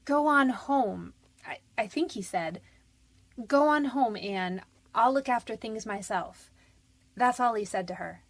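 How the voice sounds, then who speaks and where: A woman's voice: a little high, unhurried. An actress playing a frightened but defiant young convicted woman in a radio detective drama, defensive, pleading and slangy. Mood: straining to remember.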